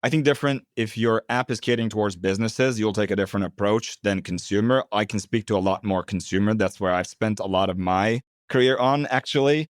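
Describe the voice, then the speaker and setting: Guy from turkey in his 30s, calm explaining, lowish voice, dry close mic. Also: no room sound. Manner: measured, explanatory. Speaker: head of product, guest. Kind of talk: interview answers.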